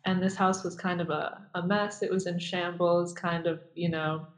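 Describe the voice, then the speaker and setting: A woman's voice: lowish voice, little pitch movement, normal speed. A young woman from Nazareth, a guest in a casual interview, talking hesitantly with many fillers and restarts. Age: young adult.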